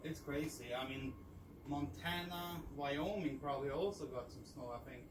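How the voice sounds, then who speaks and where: A far-off, noisy recording of a middle-aged man with a slightly high voice. He talks casually as a vlogger, in a shop room by an open door. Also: normal speed. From Norway. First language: Norwegian. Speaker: vlogger showing used audio gear. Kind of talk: casual chat. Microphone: distant camera. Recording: far from the mic, clearly noisy.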